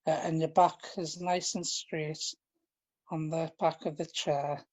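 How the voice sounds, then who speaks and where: A man leading a guided relaxation, speaking in a gentle, informal and halting way, often repeating his phrases. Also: a little high, flat delivery. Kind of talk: guided relaxation, meditation instruction.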